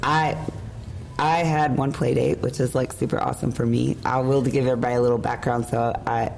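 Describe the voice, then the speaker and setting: A middle-aged woman with a hoarse, worn voice, hosting a casual podcast and rambling openly. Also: rumbling low, steady tone, fairly quick, a little mellow, a little hiss or hum. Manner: rambling, emotional, laughs often. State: hoarse, lost voice. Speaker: podcast host. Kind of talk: casual chat. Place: room at an event hotel. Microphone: shared mic.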